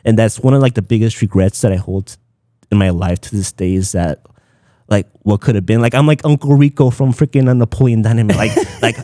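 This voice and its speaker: Man, Spanish speaker, relaxed dark tone, dry close sound, casual podcast storytelling. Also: fairly low pitch, brisk, dry recording. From Southern California, United States. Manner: informal, peppered with "like" and Spanish phrases. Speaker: podcast guest, content creator.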